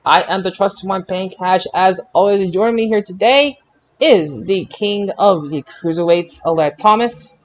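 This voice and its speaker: Man, fairly high pitch, podcast host, casual group talk, halting delivery, repeated words. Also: a little hiss or hum. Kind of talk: hosting a casual group wrestling discussion.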